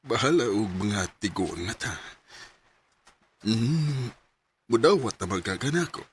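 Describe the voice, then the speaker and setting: A Filipino man with a Cebuano accent acting a shy, earnest, lovelorn character in a Bisaya radio drama, speaking haltingly. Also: lowish voice, expressive, slight background noise. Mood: tender, nervous.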